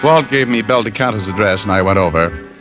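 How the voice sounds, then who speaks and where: An actor playing a cocky, wisecracking private detective with a wry, sardonic manner in old-time radio style. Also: male voice, on the low side, expressive, fast talker, lightly acted. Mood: wry narration. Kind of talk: 1940s-50s radio detective acting and first-person narration. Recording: old radio recording with music.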